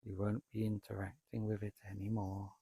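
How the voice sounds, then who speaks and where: A man leading a guided meditation, speaking calmly and deliberately in short phrases with long pauses between them. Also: fairly low pitch, gravelly, slightly rough, no room sound. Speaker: meditation teacher, Buddhist monk.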